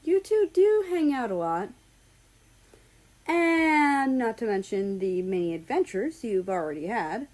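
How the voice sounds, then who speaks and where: A woman's voice: a little high, very animated, measured pace, darkish tone, pitched above the performer's own voice. Woman voicing a sly, teasing housemate. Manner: sly, pointed emphasis. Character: teasing, smirking young housemates. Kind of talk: acted storybook dialogue.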